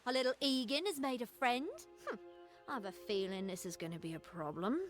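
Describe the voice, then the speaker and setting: A woman plays a scornful outlaw swindler, a villain in a fantasy video game. Her voice sits fairly high, smug and mocking with cool menace. Music runs underneath. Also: fairly quick, lightly acted. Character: sly, scornful female con artist and outlaw.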